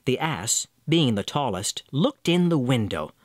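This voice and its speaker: A man reading a scripted fairy tale as storyteller, with expressive delivery. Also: mid-range voice, a little slow, no echo, studio quality. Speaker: storyteller, narrator.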